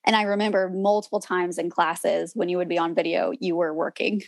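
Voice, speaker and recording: A woman hosting a casual podcast, gently prompting her friends and recalling shared memories. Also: fairly low pitch, level tone, a little fast, creaky, no room sound. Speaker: podcast host.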